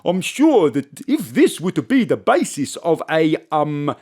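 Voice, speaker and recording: A man's voice: on the high side, very animated, unhurried, a little mellow, husky, full character voice. A man playing an eccentric scheming millionaire villain with an Afrikaans accent in a comic audio drama, delivered hammy and theatrical. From Birmingham. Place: home studio.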